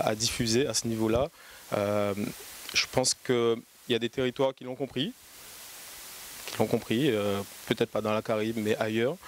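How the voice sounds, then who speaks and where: A man's voice: fairly low pitch, a little hiss or hum. Earnest man speaking from the audience. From Martinique. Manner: earnest, halting with repeated words. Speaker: audience member, coder. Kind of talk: spontaneous comment from the audience.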